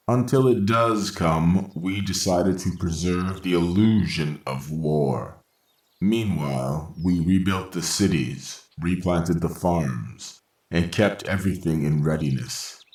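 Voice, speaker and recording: A man acts as a composed robot caretaker in a radio play, with a low voice that rings in a roomy echo. The phrasing is formal, logical and very unhurried. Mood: serene, matter-of-fact. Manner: measured, formal, matter-of-fact. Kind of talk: old-time radio science fiction acting. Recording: roomy, echoing voice.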